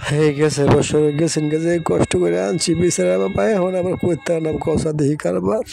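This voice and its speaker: A man's voice: on the high side, gravelly, exaggerated voice. A man voicing a distressed, helpless village father who laments in a wailing, repetitive way in a cartoon. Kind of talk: Bengali moral-story cartoon dialogue.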